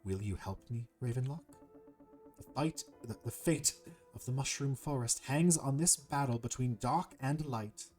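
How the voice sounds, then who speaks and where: Man voicing a slow, solemn old serpent. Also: medium pitch, a little mellow, faint music behind, natural voice. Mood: troubled, grave. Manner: slow, solemn, formal delivery. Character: grateful, grave ancient serpent plagued by nightmares. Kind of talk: amateur video game dialogue voice-over.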